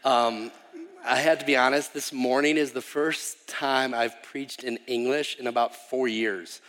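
A man's voice: medium pitch, steady tone, a little slow, a bit bright. A man from Wisconsin in his thirties, a missionary guest preacher giving a chatty, self-deprecating sermon introduction with frequent ums and restarts. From Wisconsin, USA. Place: church sanctuary. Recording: live church hall reverb.

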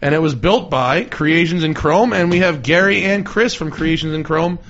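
A man's voice: fairly high pitch, moderate pace, fairly bright tone, highs cut off. A man hosting a casual podcast chat, joking and swearing as he rambles. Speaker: podcast host.

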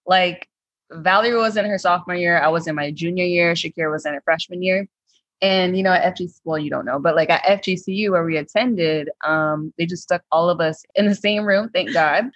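Relaxed woman co-host, dull dry sound. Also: fairly low pitch, brisk, no echo. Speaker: podcast co-host. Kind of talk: casual storytelling.

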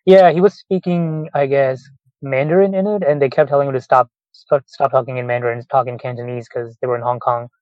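A man hosting a casual interview over a call, asking quick curious questions. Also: medium pitch, fairly even intonation, no echo. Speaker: podcast interviewer. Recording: muffled call audio.

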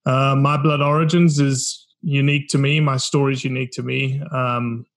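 A man's voice: medium pitch, little pitch movement, normal speed. A man in his forties from South Africa with a South African accent, telling his story earnestly as a podcast guest. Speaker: podcast guest, documentary founder. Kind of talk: casual interview talk.

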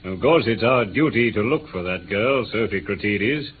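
An actor playing a stolid, courteous police inspector with a British accent, matter-of-fact and deferential, in a vintage radio drama. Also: male voice, lowish voice, expressive, moderate pace, natural voice. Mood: knowing. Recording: tinny, noisy vintage radio recording.

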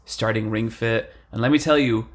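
A man hosting a casual podcast chat, blunt and quick to swear. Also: mid-range voice. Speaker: podcast host.

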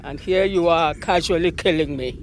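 A woman's voice: fairly low pitch, normal speed, slight background noise, close to an everyday voice. A woman playing an ancient talking olive tree in an audio drama, a stern, motherly spirit with a Nigerian accent and a grand, reproachful way of talking. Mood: accusing.

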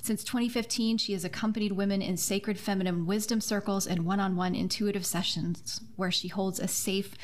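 A woman's voice: mid-range voice, steady tone, brisk, hardly any pauses, a little hiss or hum. A woman hosting a podcast in a conversational style, speaking earnestly and effusively. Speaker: podcast host and author.

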